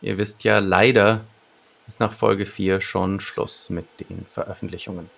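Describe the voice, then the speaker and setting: A man's voice: fairly low pitch, flat delivery, measured pace, vocal fry, a bit bright, slight background noise. A man co-hosting a podcast, presenting and discussing an audio drama episode. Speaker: podcast co-host. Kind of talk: scripted episode summary and discussion.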